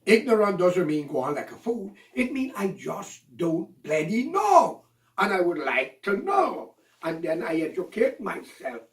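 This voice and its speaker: A man's voice: high-pitched, big pitch swings, measured pace. A man delivering an impassioned, accusatory monologue, ranting and preaching at his listeners. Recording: roomy echo, voice from a distance.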